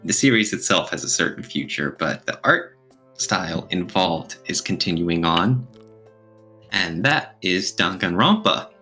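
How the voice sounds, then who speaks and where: Man, low forceful bright voice, casual podcast co-host, chatty delivery, music behind. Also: animated, measured pace.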